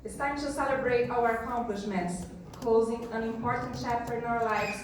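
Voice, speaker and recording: A woman from Brazil, a native Portuguese speaker with a Brazilian accent, giving a measured graduation speech. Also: fairly high pitch, steady tone, slight background noise. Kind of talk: prepared commencement speech. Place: large hall. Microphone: PA heard from back of room. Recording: echoey hall from far off.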